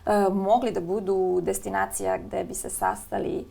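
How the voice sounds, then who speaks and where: A woman interviewer asking formal questions in a measured way, with hesitant fillers. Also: medium pitch, flat delivery, creaky, dark tone.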